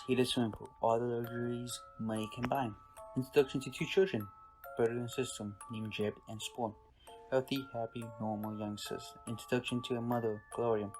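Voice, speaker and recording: A man playing a solemn anthology narrator, giving dramatic scripted narration in measured, clipped phrases. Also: mid-range voice, lightly acted, poor audio. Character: solemn anthology narrator introducing an outwardly idyllic family. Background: soft mallet music.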